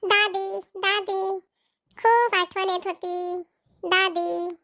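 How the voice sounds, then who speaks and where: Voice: extremely high pitch, flat delivery, gravelly, sharp tone, heavily acted. A performer voicing a sweet little grandson in a family cartoon, with simple childlike speech. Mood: sweet, longing. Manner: slow, simple childlike words. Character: little grandson, sweet toddler missing his granny. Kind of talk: Marathi family cartoon voice acting.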